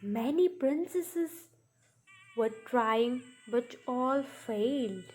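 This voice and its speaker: Lady reading out a kids story, highish voice, really slow with pauses, bit of noise behind. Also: expressive, darkish tone, faint music behind. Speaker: storyteller narrating a puppet show. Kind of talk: scripted children's storytelling narration.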